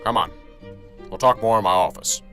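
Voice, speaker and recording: A man playing a stressed, gruff police sergeant in a radio drama, blunt and sarcastic. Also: fairly low pitch, normal speed, pushed and bright, faint music behind. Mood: curt, annoyed.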